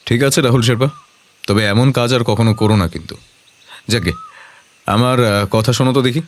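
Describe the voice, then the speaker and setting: An actor playing a self-assured detective in an audio drama, measured and confident, kindly but commanding. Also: male voice, mid-range voice, natural voice.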